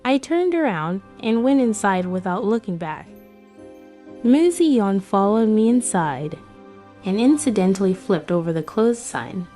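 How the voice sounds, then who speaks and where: A woman's voice: mid-range voice, animated, measured pace, dark tone, soft background music. A woman giving a scripted first-person narration for a romance comic dub.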